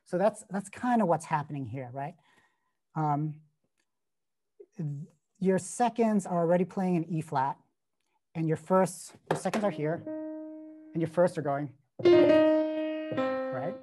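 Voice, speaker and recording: Mellow-voiced man teaching over video call. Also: on the high side, takes it slowly, long pauses, faint music behind. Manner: casual, many fillers and "right?" tags. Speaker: composition teacher giving feedback. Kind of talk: online masterclass critique.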